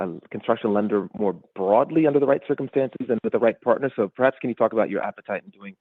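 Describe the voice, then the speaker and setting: A male financial analyst asking polite questions on a conference call, with halting, conversational phrasing. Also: on the low side, dry recording. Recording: dry narrowband phone line.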